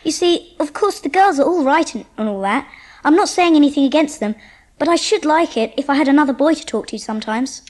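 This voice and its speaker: A woman acts out a bold, earnest Edwardian schoolboy in an audiobook drama. The voice is high and boyish. The boy sounds eager and sure of himself. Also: lively intonation, a little fast, darkish tone. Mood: earnest, pleading.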